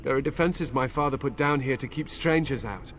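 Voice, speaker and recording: An actor voicing an earnest, anxious village father with a bitter streak and a halting, pleading manner, in a fantasy video game. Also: mid-range voice, fairly bright tone, slight background noise, close to an everyday voice. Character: grieving, anxious village man, bitter son of a dead mage. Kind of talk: dramatic video game acting.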